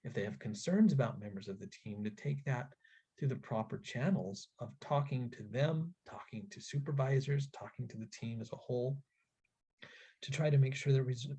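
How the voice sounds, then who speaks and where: Male webinar presenter, husky and mellow, earnest and deliberate, instructing parents. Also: medium pitch. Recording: dull, lo-fi webinar audio.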